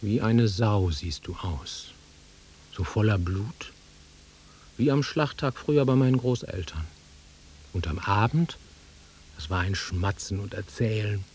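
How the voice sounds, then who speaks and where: An actor playing a volatile, nostalgic country-bred man in a German radio drama, brooding and taunting, with a rough husky voice that swings from muttering to outbursts. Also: lowish voice, animated, unhurried, pauses often, darkish tone, a little hiss or hum.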